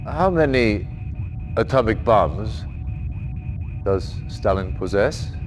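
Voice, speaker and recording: An actor playing a grave, decisive middle-aged army general in a video game briefing, measured and authoritative. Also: male voice, fairly low pitch, expressive, soft background music. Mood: probing. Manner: measured, authoritative, deliberate phrasing. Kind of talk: video game cutscene acting, military briefing.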